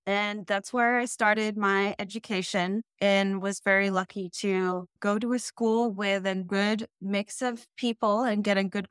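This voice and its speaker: Woman from the Netherlands, smooth clear voice, dry close sound, measured explanations. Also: mid-range voice, fairly bright tone, no room sound. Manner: measured, explanatory, occasional restarts. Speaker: school district planning head. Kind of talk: interview answers.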